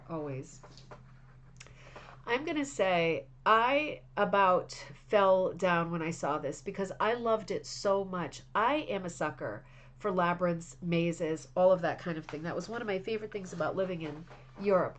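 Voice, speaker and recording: A woman with a slightly low voice and a touch of congestion hosts a relaxed craft club stream. She chats warmly and eagerly about viewers' projects, often restarting her sentences as she goes. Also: slight background noise. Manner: chatty, enthusiastic, frequent restarts and fillers. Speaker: host of a craft club livestream. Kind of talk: casual chat reading viewer messages.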